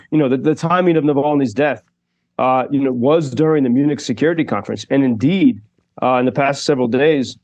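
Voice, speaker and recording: A man's voice: medium pitch, a little mellow. A man reporting live for a radio show, talking thoughtfully with many fillers and restarts. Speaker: radio correspondent. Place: Kyiv.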